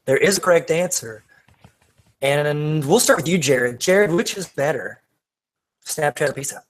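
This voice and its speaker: A man's voice: on the high side, lively intonation, measured pace. A man co-hosting a podcast, chatting casually and jokingly with friends. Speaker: podcast co-host running a game segment.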